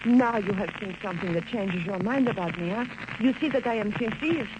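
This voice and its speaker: An actress playing a melodramatic, coy Mexican femme fatale with a Spanish accent in 1940s radio drama style. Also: female voice, mid-range voice, brisk, lightly acted. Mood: seductive, wheedling. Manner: coy, theatrical, formal phrasing. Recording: dull, noisy old-time radio recording.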